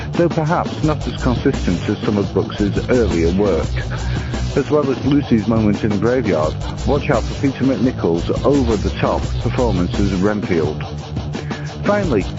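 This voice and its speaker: A man reading a scripted film review as a guest reviewer. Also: fairly low pitch. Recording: dull band-limited audio under loud music.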